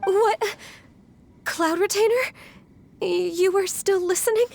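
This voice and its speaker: A woman voicing a gentle, polite, earnest young woman in a video game, with a slightly hesitant manner. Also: very high-pitched, takes it slowly, vocal fry, faint music behind, exaggerated voice. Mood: startled.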